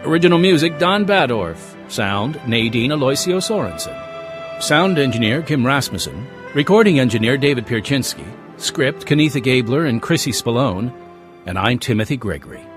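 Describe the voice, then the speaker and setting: A man announcing a scripted radio program as its host, with measured, deliberate enunciation. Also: mid-range voice, very animated, soft background music.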